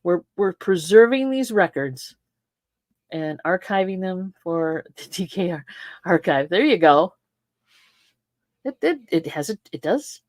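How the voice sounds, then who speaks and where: A woman's voice: on the low side, expressive, measured pace, long pauses, a little mellow, no echo, studio quality. A woman in her sixties co-hosting a casual chat, repeating catchphrases and laughing often in playful banter. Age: 60s. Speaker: co-host. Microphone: desk microphone.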